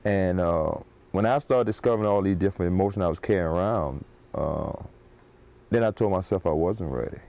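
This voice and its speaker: A man's voice: deep voice, brisk, creaky, dark tone. A man giving reflective personal testimony, hesitating and repeating words as he goes. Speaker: former prisoner giving testimony.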